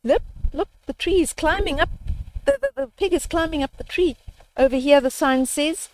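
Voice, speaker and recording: A woman's voice: high voice, normal speed, pitched above the performer's own voice, lightly acted, rough recording. An older woman voicing stubborn, disbelieving cow sisters in a children's storybook, in an emphatic, exclaiming manner. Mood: astonished.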